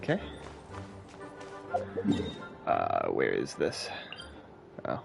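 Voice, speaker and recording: A man's voice: extremely low pitch, huge pitch swings, drawn-out words, creaky. A man streaming a game, making casual, sarcastic asides and swearing now and then. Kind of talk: casual live gameplay commentary. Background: game music.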